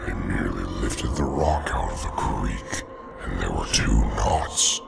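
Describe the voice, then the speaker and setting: A man voicing a sinister, inhuman ferryman who speaks in slow, cryptic riddles. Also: a bass voice, animated, vocal fry, full character voice. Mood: menacing. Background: loud music.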